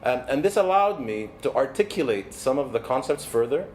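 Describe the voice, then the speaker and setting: A man in his forties from Egypt, a native Arabic speaker, delivers a motivational keynote as a coach in an earnest, measured, deliberate way. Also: mid-range voice, big pitch swings, a little mellow. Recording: background noise, ambient sound.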